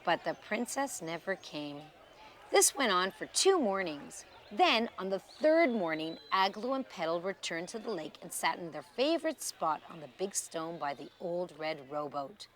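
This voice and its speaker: A woman storyteller reading a children's tale expressively, with dramatic pauses and emphasis. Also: on the low side, big pitch swings, fairly bright tone, a little hiss or hum.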